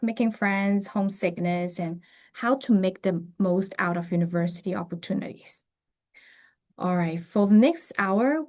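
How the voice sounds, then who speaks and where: A woman from a university admissions office opens and guides an online session. She talks in a relaxed, friendly way at a middle pitch, now and then dropping in an um or an uh. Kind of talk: webinar hosting.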